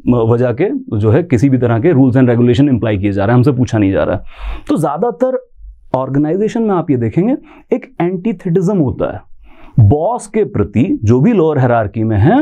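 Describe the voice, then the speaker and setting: An Indian-accented man gives an explanatory coaching lecture. His mid-pitched voice is mellow and rises and falls in a very lively way. He teaches conversationally, stressing key terms and posing rhetorical questions. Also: highs cut off. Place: indoor teaching studio in front of a screen.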